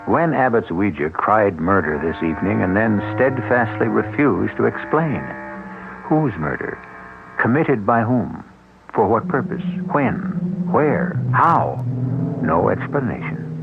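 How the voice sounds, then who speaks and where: A man with a very deep, gravelly voice narrates a radio mystery with grave, ominous weight and a lively rise and fall. The sound is a tinny, narrow old radio broadcast, with music playing clearly underneath. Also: a little slow. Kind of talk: dramatic 1970s radio narration.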